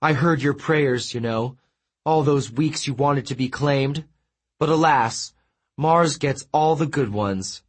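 A man's voice: medium pitch, takes it slowly, slightly rough, pitched above the performer's own voice. A man playing Apollo, a wailing vain sun god. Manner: wailing, theatrical and self-pitying.

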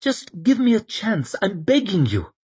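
A man's voice: sits high, wildly swooping pitch, measured pace, a little mellow, husky, voice pushed up, full character voice. A man voicing an earnest, idealistic young priest who pleads passionately. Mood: begging. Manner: pleading, impassioned.

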